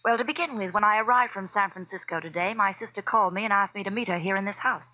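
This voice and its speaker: An American actress playing a brisk, demanding client in a 1940s radio detective drama, tough but worried. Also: female voice, mid-range voice, natural voice. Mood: worried, urgent. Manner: clipped, commanding, insistent. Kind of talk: 1940s radio noir acting. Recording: dull, muffled vintage radio recording.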